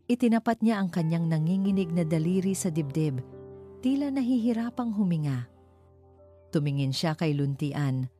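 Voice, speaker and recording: Low, mellow woman narrating a story. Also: lively intonation, moderate pace. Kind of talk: dramatic scripted story narration. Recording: music behind the voice.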